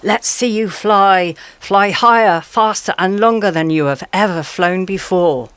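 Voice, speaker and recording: A woman playing a sly, flattering predator animal, a fossa, coaxing with mock deference in a radio play. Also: medium pitch, a little slow, clear, resonant tone, no echo, close to an everyday voice. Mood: urging, flattering. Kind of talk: radio play character acting.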